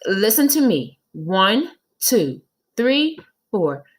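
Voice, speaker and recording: A woman voicing a female schoolteacher in a children's storybook, patient but firm, correcting and instructing in a measured manner. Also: medium pitch, big pitch swings, heavily acted. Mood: instructive. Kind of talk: children's storybook read-aloud.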